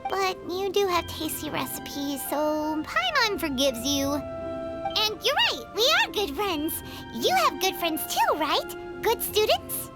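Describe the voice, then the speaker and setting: Super high squeaky little sidekick girl, chirpy and greedy, swoopy voice, loud game music behind. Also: sing-song, takes it slowly, exaggerated voice. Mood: indignant. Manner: chirpy, speaks of herself by name. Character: tiny floating female companion, bubbly and greedy. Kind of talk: game voice acting.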